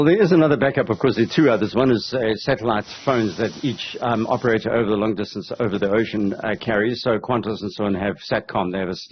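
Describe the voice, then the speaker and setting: A man in his fifties with a husky voice, explaining in a halting, measured way as a news interview guest over a video call. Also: on the low side. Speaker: aviation commentator and interview guest. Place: indoor room on a video call. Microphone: headset on a video call. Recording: dull, lo-fi video call audio.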